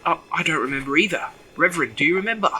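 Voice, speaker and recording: A man's voice: a little high, expressive, racing, bright, forceful tone, poor audio. A man playing an earnest, uneasy mourner in an audio drama who wants last wishes honored.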